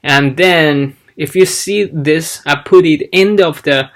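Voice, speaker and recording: Guy w/ talking slow, lots of ums, sounding out syllables one by one. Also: on the high side, a bit bright. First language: Chinese. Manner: slow, halting, demonstrates sounds aloud. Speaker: language learner vlogger. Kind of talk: casual teaching vlog.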